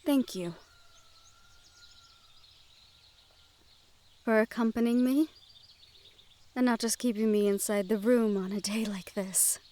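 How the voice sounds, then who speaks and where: A woman playing a sheltered young noblewoman in a fantasy audio roleplay, gentle, wistful and quietly willful, with formal phrasing. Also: on the high side, fairly even intonation, moderate pace, natural voice. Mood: soft, apologetic. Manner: formal speech with few contractions. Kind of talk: fantasy audio roleplay acting, addressed to the listener.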